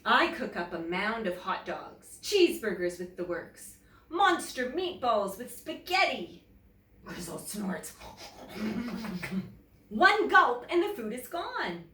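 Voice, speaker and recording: A woman reads a children's story like a teacher addressing young listeners. Her delivery is slow and deliberate, with lively swings in pitch. The recording is roomy, a little distant from the mic, with faint noise. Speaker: teacher reading a story aloud. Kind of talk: animated children's picture-book read-aloud.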